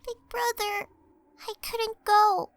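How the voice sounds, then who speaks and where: Game dub: shy little girl, childlike and hesitant, piercingly high, dry close sound. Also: flat delivery, takes it slowly, vocal fry, no room sound, exaggerated voice. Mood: embarrassed, distressed. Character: timid little girl, a younger sister. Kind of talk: video game fan dub acting.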